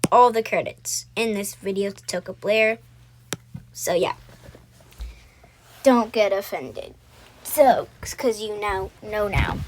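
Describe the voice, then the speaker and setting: A woman's voice: medium pitch, animated, takes it slowly, pauses often. A woman talking casually and slangily, as creator of an amateur voiced roleplay story video. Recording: noisy home recording with clicks.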